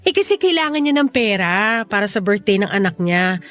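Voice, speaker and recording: An actress playing a generous, gently teasing female neighbour in a Filipino radio drama, speaking with a Filipino accent in a friendly way. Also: mid-range voice, very animated, normal speed, soft background music. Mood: casual, friendly.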